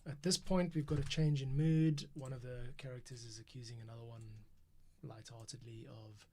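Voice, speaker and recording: A man's voice: mid-range voice, steady tone, moderate pace, warm tone. A man giving casual, thinking-aloud commentary as an audio editor at work. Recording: music behind. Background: orchestral music being edited.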